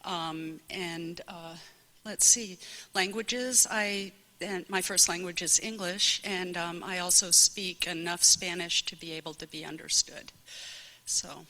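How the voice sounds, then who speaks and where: American woman, bit low but bright, introducing herself kinda self-consciously. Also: steady tone, slow, low-quality recording. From small-town Connecticut, United States. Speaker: college science department staff member. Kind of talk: informal unscripted self-introduction to a group.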